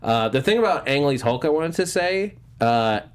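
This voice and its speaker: A man's voice: on the high side, animated, normal speed, clean tone. Casual, opinionated man on a panel show. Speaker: panelist on a movie news talk show. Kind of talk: casual fan panel discussion.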